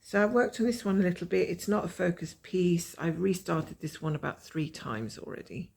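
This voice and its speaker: A woman with a croaky voice from a cold, chatting casually and rambling as she shows her cross-stitch progress from home. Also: lowish voice, moderate pace, darkish tone. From Scotland. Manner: rambling, chatty, self-mocking.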